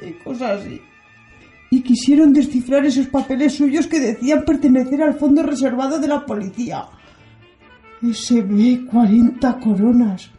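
An actress playing an anxious, devout housekeeper who is given to wailing exclamations in a comic radio play. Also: female voice, a little high, mellow. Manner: wailing exclamations and pious cries. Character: excitable, devout housekeeper, anxious and doting on her master. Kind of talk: comic radio theatre acting. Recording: roomy echo with music behind.

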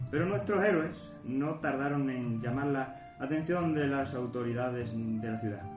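Man playing a tongue-in-cheek storyteller, mock-solemn fantasy narration, medium pitch, echoing room, music underneath. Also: lightly acted. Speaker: narrator of an audio drama. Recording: roomy echo with music behind.

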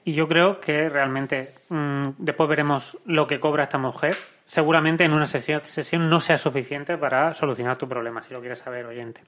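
Guy hosting a podcast, kinda high and bright voice, playful, keeps saying vale. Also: fairly even intonation, normal speed, hardly any pauses. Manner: playful, leads segments, keeps asking ¿vale?. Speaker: podcast host. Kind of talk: casual chat.

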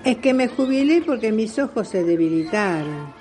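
A woman's voice: on the high side, moderate pace. A woman playing a spirited, kindly old great-grandmother and retired aviatrix in a children's radio play, with an Argentine accent and exclaiming delivery. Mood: fond, reminiscing. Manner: animated, exclaiming. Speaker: student voice actor.

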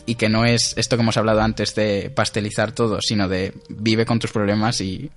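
Rambling young Spanish man, low voice. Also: level tone, normal speed, soft background music. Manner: rambling, with frequent restarts and laughter. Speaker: podcast co-host. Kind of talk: casual chat.

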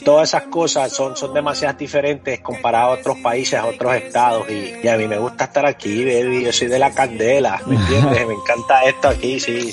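A man from New York City talks as a guest artist in a casual interview. He is slangy and emphatic, often repeating phrases, in a mid-range pitch over faint music. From New York City, United States.

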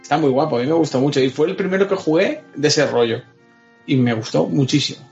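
A man with a Spain accent speaks in a somewhat high voice with strong vocal fry. He is a panelist chatting about games in colloquial slang. Faint music sits behind a slightly dull recording. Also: quick pace, darkish tone. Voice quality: colloquial speech. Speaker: podcast panelist. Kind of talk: casual chat about video games.

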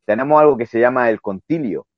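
Man, low-pitched, relaxed rambling talk, dull lo-fi audio, dry. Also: fast talker, no room sound. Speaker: guest, sailing youtuber. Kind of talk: casual video interview. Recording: dull lo-fi sound.

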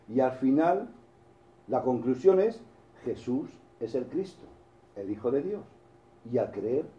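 Very dark-voiced Spanish-accented preacher. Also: male voice, medium pitch, echoey room, lo-fi sound. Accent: Spain Spanish. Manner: storytelling with frequent restarts and asides to the congregation. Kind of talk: sermon.

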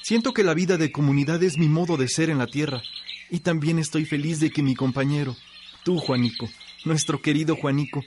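Guy acting happy seminarian, kinda high voice, radio drama, faint birdsong. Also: fairly quick, noisy background, natural voice. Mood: happy, affectionate. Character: Héctor, happy seminarian devoted to community life. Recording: faint birdsong ambience behind the voice.

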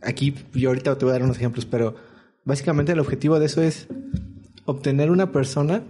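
A man's voice: medium pitch, fast talker. A man who hires programmers, chatting casually on a panel and explaining with everyday examples. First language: Spanish. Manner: relaxed, explains with examples, many fillers. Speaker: tech interviewer on a panel.

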